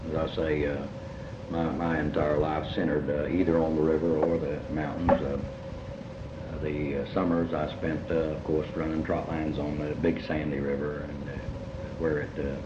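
A middle-aged man from eastern Kentucky with an Appalachian accent, reminiscing in an interview in a halting, reflective way with many pauses. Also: extremely low pitch, flat delivery. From eastern Kentucky, United States. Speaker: writer being interviewed. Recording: muffled, noisy, roomy amateur tape.

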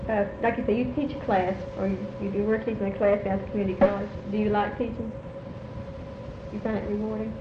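A woman's voice: medium pitch, flat delivery, fast. A woman interviewer asking informal questions in a plain, tentative way, often rephrasing as she goes. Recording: muffled, echoey, noisy amateur interview tape.

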